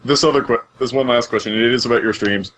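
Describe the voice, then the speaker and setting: A man's voice: medium pitch, fast, a bit bright, poor audio. A man hosting a podcast in an informal, casual way, over a voice call with a little noise. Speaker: podcast host asking questions.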